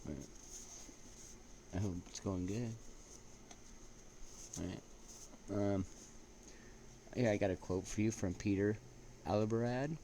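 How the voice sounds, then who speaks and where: A man with a low voice chats as a vlog host. His delivery is laid-back and halting, drifting between casual asides and read-out lines, with frequent little check-ins. Clear ambient noise runs behind him. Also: mellow. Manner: laid-back, halting, often checking in with "right?" and "you know". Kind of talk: rambling casual chat with read-out quotes. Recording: clearly noisy recording with ambient sound.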